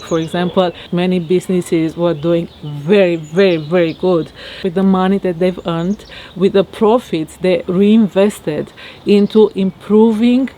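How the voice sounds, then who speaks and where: A woman from Romania with a Romanian accent, talking reflectively to her viewers in a halting way with many fillers. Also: on the low side, dark tone, slight background noise. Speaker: vlogger. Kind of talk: casual monologue to camera. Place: outdoors in her garden.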